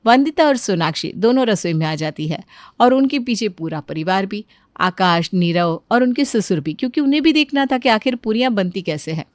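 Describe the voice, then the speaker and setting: A woman's voice: mid-range voice, expressive, normal speed, no echo, clean studio sound. A woman narrating a scripted Hindi cartoon story.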